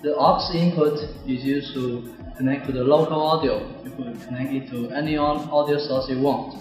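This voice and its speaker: A man's voice: medium pitch, steady tone, normal speed. A man, giving a scripted product demonstration. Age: 20s. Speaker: product presenter. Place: bare indoor office or classroom. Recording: very echoey room, music behind.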